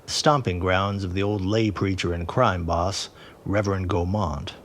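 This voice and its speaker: A grave, brooding man in a period audio drama. His deep voice moves deliberately and portentously from phrase to phrase. Faint ambient noise lies behind him. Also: fairly even intonation. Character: grave, brooding man surveying a haunted landscape.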